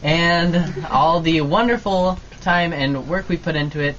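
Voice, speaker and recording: A man's voice: on the high side, a little slow, a bit bright. A man announcing an informal sign-off for an amateur radio show. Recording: dull amateur recording with noise.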